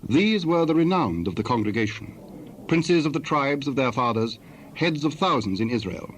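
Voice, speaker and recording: A man narrating scripture from a script in a formal, measured way. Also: mid-range voice, very animated, slight background noise.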